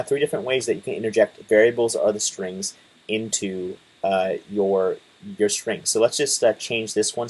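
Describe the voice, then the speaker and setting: A man in his twenties casually talking through a coding tutorial, relaxed, with lots of fillers and restarts. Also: on the low side, poor audio. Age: 20s. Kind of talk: casual screen-share tutorial.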